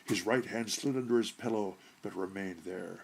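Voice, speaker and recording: Gravelly male short-story narrator. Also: low-pitched, moderate pace, slight background noise, poor audio. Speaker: audiobook narrator.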